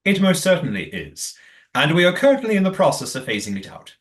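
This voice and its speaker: A man playing a calm, secretive leader of a commune in an audio play, speaking in a formal, measured, evasive way. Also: on the high side. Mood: composed, formal.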